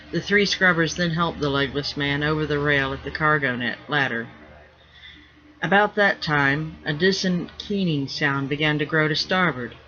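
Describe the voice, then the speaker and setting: Bright, higher-pitched male story narrator. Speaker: author reading own novel. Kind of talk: scripted narration, fiction reading. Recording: dull lo-fi recording.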